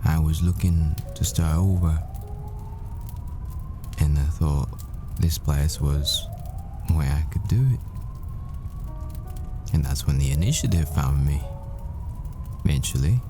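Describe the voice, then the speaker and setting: Slow, very low-voiced, wistful boyfriend played by a man. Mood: weary, wistful. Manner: slow, halting, intimate, wistful. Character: desperate, tender boyfriend, a secretive professor and physicist. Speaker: audio roleplay performer. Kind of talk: intimate ASMR-style dramatic roleplay monologue. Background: music.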